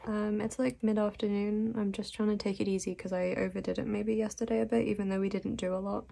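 A young woman who is sick and losing her voice, talking softly in a rambling casual voice-over about her journal. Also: medium pitch, flat delivery. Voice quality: hoarse. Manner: soft, unhurried and rambling with little laughs. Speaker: vlogger.

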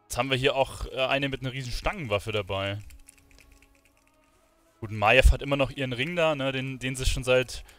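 A man's voice: mid-range voice, moderate pace, long pauses, fairly bright tone. A man giving casual gameplay commentary as a streamer. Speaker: gaming streamer. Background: game music and sounds.

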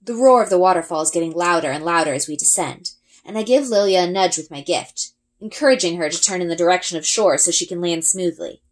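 A woman reading a first-person children's adventure story aloud in an expressive storytelling style. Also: lowish voice. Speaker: read-aloud reader, elementary school.

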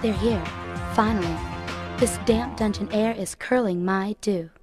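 A woman's voice: mid-range voice, measured pace, over music, natural voice. A woman playing a sassy, vain glamour-girl heroine in a video game, flippant and playful. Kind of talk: video game cutscene acting.

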